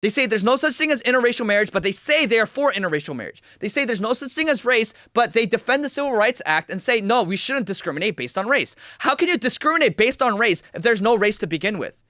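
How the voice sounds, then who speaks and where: A man's voice: sits high, very animated, fast, few pauses, pushed and bright, no room sound, studio quality. A young man of Korean origin giving a heated, repetitive livestream commentary rant as host. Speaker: streamer host.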